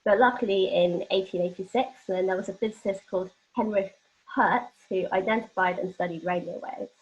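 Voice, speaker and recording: A woman's voice: mid-range voice, steady tone, fairly quick. A woman presenting an explanatory workshop lecture, talking informally and illustrating points with everyday examples. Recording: dull, lo-fi band-limited audio.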